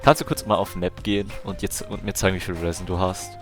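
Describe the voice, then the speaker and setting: A man's voice: low voice, level tone, fast, faint music behind. A man with a German accent giving casual commentary and chatting as he streams a game. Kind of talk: casual gameplay commentary and chat.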